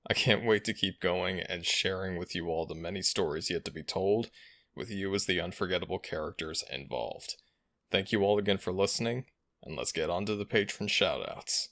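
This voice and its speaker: A man speaking earnestly in measured, formal wording as he addresses his followers as an audio roleplay host. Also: deep voice, a bit bright, no echo. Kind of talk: addressing followers, channel update and shoutouts.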